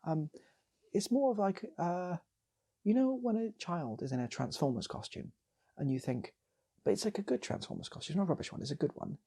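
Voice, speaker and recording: A man's voice: sits high, lively intonation, a little fast, mellow. A man telling an improvised comic story in a loose, rambling way, repeating phrases as he goes. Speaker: storyteller and channel host.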